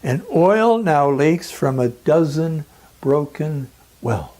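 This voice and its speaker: Guy giving a serious scripted talk, really slow and emphatic, pitch moves around a lot, dramatic pauses. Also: lively intonation, a little mellow. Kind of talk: scripted persuasive lecture narration.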